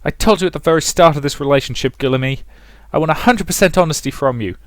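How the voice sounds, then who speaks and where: A man voicing a furious, jealous young fiancée from a visual novel, in a shrill, accusing and emphatic manner. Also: fast talker, dry recording, lightly acted.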